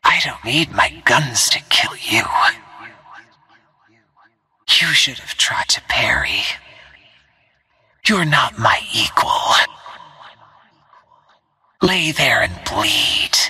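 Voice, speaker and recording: An actress voicing a cold, menacing female assassin in a video game, with a breathy, husky, hushed delivery and controlled threat. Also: very deep voice, big pitch swings, extremely slow, long pauses, exaggerated voice. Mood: cold, contemptuous. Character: cold, menacing female assassin who stalks her targets. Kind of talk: scripted video game character barks.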